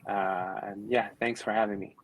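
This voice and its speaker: A man's voice: on the low side, flat delivery, moderate pace, rough recording. A young man from China answering panel questions, hesitant with many fillers. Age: young adult. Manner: hesitant, many ums and uhs. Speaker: university student panelist. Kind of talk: panel answers on video call. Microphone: video call.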